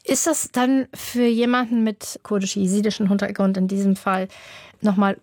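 Measured woman news presenter, precise questioning, dry and close. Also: medium pitch, steady tone, darkish tone, dry recording.

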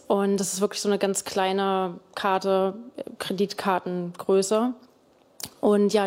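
A woman's voice: medium pitch, a little mellow. A young woman from Germany, a guest in an interview, explaining at length with many fillers and restarts. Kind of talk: conversational interview.

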